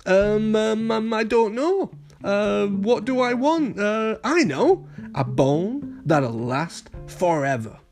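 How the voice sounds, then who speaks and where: Young male cartoon pup, eager and excitable, high and swooping, music behind man performing. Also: slow pace, exaggerated voice. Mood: pondering.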